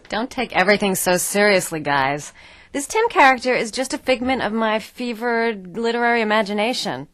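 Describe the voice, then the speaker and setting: An actress playing a manic, self-absorbed thirty-year-old author in a satirical audio drama, flippant and rambling. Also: female voice, fairly high pitch, animated, normal speed, fairly bright tone, no echo. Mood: flippant, brash.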